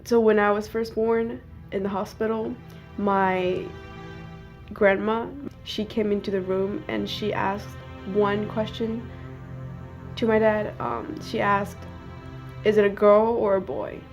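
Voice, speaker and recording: A teenage student from China speaking earnestly in a documentary interview. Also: female voice, medium pitch, level tone, takes it slowly. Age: teens. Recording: faint music underneath.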